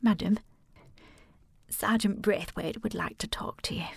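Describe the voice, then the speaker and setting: An actress playing an anxious, deferential housekeeper in a period audio drama, timid and breathy. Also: female voice, mid-range voice, steady tone, a little slow, dry recording, whispered, exaggerated voice. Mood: subdued. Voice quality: breathy, hushed. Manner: timid, pleading.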